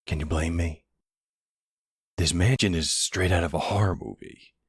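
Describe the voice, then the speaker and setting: A man playing a nervous, affectionate boyfriend in an intimate audio roleplay, hesitant and gently teasing. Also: low voice, normal speed, slightly rough, no room sound, studio quality.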